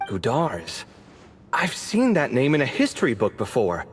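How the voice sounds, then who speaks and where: A man voicing a sarcastic, excitable young scholar adventurer in a video game, speaking expressively. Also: mid-range voice, measured pace, long reverb tail, faint music behind. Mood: curious, wondering.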